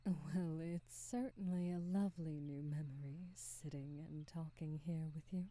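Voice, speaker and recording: Woman as a gentle witch, dark slow whisper. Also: sits low, steady tone. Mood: warm, touched. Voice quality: soft, breathy, often whispered. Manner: slow, tender, old-fashioned formal phrasing. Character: kindly, wistful old witch, gentle and motherly. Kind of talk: ASMR roleplay, whispered and soft-spoken.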